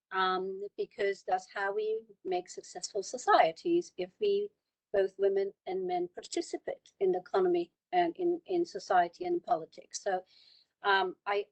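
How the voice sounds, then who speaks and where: A woman's voice: medium pitch, rough recording. Iranian-born woman on a video call panel. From Iran. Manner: earnest, repeats words for emphasis. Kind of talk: panel discussion remarks.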